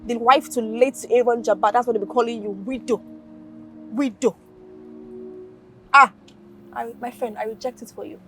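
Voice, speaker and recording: A woman playing a young friend who teases and then comforts, talking in a lively and fond way, with music underneath. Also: fairly high pitch, a little fast. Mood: teasing. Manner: teasing, then soothing.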